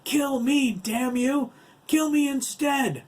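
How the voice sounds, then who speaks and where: A man voicing a furious, desperate man shouting defiance in a storybook narration. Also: very high voice, slow, far from the mic, pitched above the performer's own voice. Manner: strained, shouted.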